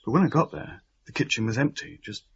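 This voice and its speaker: An actor with an English accent playing an easygoing young husband, chatty and matter-of-fact, in a radio drama. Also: male voice, mid-range voice, big pitch swings, fairly quick, slightly rough, highs cut off, heavily acted. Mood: grave, recounting. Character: easygoing, hungry young husband recounting events. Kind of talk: radio drama acting and narration.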